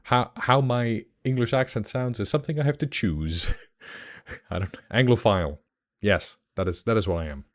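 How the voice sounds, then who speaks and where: A man's voice: on the low side, normal speed. A young Icelandic man chatting casually on a livestream, rambling and enthusiastic, with many fillers and restarts. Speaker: streamer.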